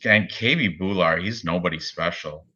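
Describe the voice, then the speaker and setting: A man's voice: low voice, normal speed, sharp tone, husky, muffled recording. A man co-hosting a casual sports betting show, rambling and laughing often as he talks through his picks. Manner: rambling, laughs often, reads chat aloud. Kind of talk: casual chat.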